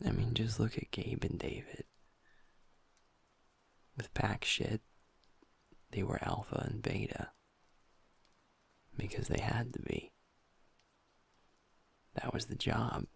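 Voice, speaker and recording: A man voicing a gravelly, hushed werewolf boyfriend. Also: on the low side, flat delivery, heavily acted. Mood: vulnerable, confiding. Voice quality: soft, half-whispered, confiding. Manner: halting, with pauses and restarts. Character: gentle young male werewolf boyfriend, usually upbeat, now downcast. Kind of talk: intimate audio roleplay.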